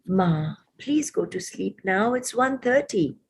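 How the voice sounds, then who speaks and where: Woman as a worried, wheedling daughter, raised pitch. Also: lively intonation, slow, creaky, a little hiss or hum. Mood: anxious coaxing. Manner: urgent, wheedling appeals. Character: worried adult daughter coaxing her elderly mother. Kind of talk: dramatised poetry recitation.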